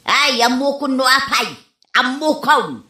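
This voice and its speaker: A woman's voice: sits high, measured pace, sharp tone. A woman playing a fussy, scolding older mother in an Ilocano radio comedy drama, with an Ilocano accent. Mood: complaining. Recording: roomy, echoing sound.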